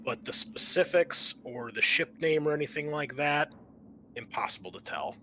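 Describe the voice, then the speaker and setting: A man improvising narration as game master of a tabletop game, in a halting, conversational way. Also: a little high, animated, pushed and bright, slight background noise.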